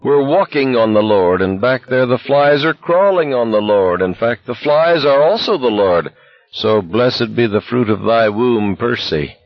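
A man voicing a hysterical young male walker who declaims mock prayers in a jeering, excitable way, in an audiobook story. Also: on the low side, moderate pace, slightly rough. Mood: giddy, jeering. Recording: dull, echoey narration recording.

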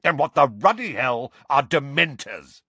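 A man voicing a blustering, hot-tempered middle-aged uncle in an audiobook, forceful and loud. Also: medium pitch, very animated, measured pace, husky, no echo, voice pushed up, full character voice. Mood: baffled, angry. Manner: forceful, explosive, emphatic.

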